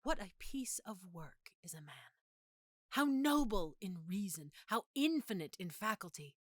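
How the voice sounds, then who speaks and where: Woman as a brooding, melancholy prince, widely swinging pitch. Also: big pitch swings, no echo, studio quality. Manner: theatrical, measured, emphatic pauses. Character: melancholy young prince, disillusioned, philosophical, brooding. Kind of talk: Shakespearean monologue acting.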